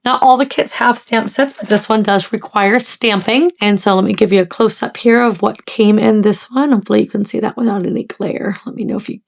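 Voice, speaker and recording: A woman hosting a casual crafting video, talking to viewers in a friendly, chatty way with a scratchy, hoarse voice. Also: medium pitch, steady tone, brisk, hardly any pauses. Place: home craft room.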